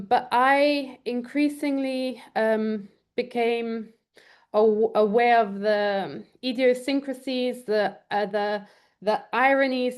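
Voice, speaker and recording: A woman's voice: on the high side, a little mellow. A woman law lecturer, born in Trinidad and raised in London, giving an online presentation with many ums and restarts. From Trinidad and Tobago, raised in London. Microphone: video call.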